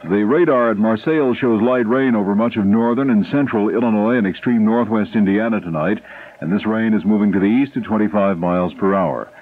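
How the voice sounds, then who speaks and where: A man's voice: lowish voice, a little fast. An American man reading the weather as a local radio news anchor. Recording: noisy lo-fi 1970s radio broadcast.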